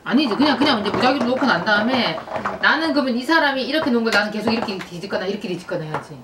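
A woman in her forties explains a block game step by step and counts aloud with a lively, swinging pitch. She sits at a distance from the microphone in an echoey toy shop, and noise is clearly heard. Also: rapid-fire. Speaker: teacher playing the game. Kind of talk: casual chat.

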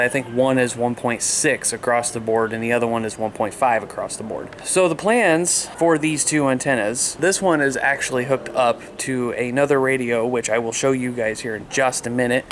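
A man's voice: mid-range voice, moderate pace, few pauses, a bit bright. A man in his thirties talking casually and informally, explaining things in a rambling way as a hobbyist vlogger. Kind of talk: casual vlog chat. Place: outdoors in a yard, later a small room indoors. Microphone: camera at arm's length. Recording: noisy camera audio with background hum.

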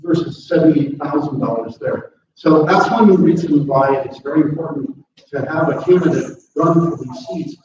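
A man's voice: a little high, moderate pace, noisy background. A middle-aged American man, a state legislator, talking casually to a meeting and rambling with many fillers and restarts. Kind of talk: casual talk with audience questions. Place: large meeting room. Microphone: far camera, no mic. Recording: echoey room, distant and dull.

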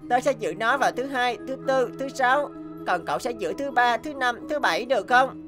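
A woman voicing an earnest, easily indignant schoolboy in a cartoon, expressive and plaintive. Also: on the high side, normal speed, close to an everyday voice. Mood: pleading. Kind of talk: animated cartoon dialogue. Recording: background music under dialogue.

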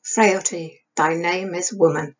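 A woman's voice: on the low side, steady tone, slow pace, breathy edge. A woman voicing a young male actor declaiming an anguished Hamlet theatrically in an audiobook. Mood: anguished, declamatory. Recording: dull lo-fi webcam sound.

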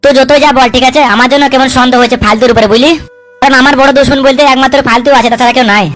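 Woman voicing a high-pitched, hectoring cartoon politician. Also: fairly even intonation, very fast, a bit bright, faint music behind. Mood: brooding, irritated. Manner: coarse, swearing, hectoring with repeated tag questions. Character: foul-mouthed, hot-tempered bullying male politician, threatening and domineering. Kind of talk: comic cartoon dub dialogue.

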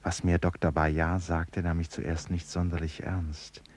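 An actor playing a reflective painter in a German radio play, speaking in a measured, pensive way. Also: male voice, sits low, flat delivery, a little mellow. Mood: pensive narration. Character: reflective male painter recalling a jungle expedition. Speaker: radio play narrator and lead. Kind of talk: 1950s-70s German radio play acting, first-person narration and dialogue.

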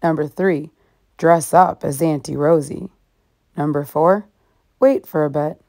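A woman narrating a children's story as a read-aloud, deliberately stressing and stretching key words. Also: deep voice, dark tone. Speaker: storybook reader, teacher.

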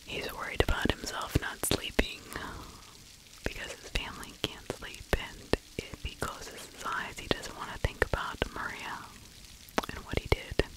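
A middle-aged woman narrates in a soft, breathy whisper with no voiced tone. She sits right up on the microphone in ASMR fashion. Her delivery is conversational and opinionated, like someone sharing her own take on a story. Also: measured pace, rough recording. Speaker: true crime ASMR host, narrator. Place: small indoor room. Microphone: close microphone with furry windscreen.

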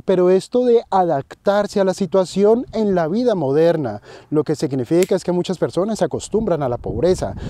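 A man's voice: high-pitched, warm tone. A man in his thirties from Colombia with a Colombian accent, earnestly explaining his views straight to the camera while outdoors by a lake. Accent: Colombian Spanish. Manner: earnest, explanatory, addresses viewers directly. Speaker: finance vlogger. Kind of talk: direct-to-camera commentary. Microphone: handheld camera close to face.

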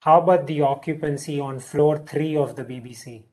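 A man's voice: fairly high pitch, steady tone, moderate pace, darkish tone. A young man giving spoken commands to a voice assistant over a video call. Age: young adult. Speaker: product demonstrator. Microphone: video call from a laptop.

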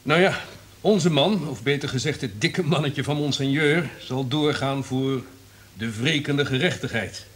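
An actor in a Dutch radio play playing a smug, calculating, cynical radio network executive. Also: male voice, medium pitch, measured pace, a bit bright, slight background noise, close to an everyday voice. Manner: self-satisfied, calculating.

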